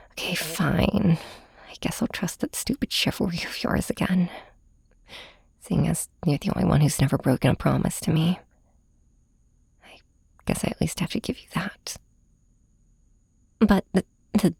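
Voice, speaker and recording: Casting: actress as weary, wounded heroine for ASMR audio drama; low, very husky female voice, halting delivery. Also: dry recording, full character voice. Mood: resigned, cautious. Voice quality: breathy, whispery. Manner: hesitant, halting, soft-spoken. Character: heartbroken, weary young woman hero confiding in her nemesis.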